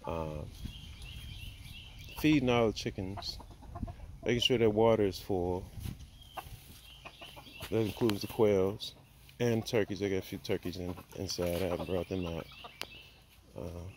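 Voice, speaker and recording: A man's voice: low voice, unhurried, long pauses, a little mellow, slight background noise. A man giving a casual homestead tour outdoors, thinking aloud with many fillers, filmed on a handheld phone. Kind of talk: casual walk-and-talk vlog. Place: outdoor backyard by chicken coops. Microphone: handheld phone camera.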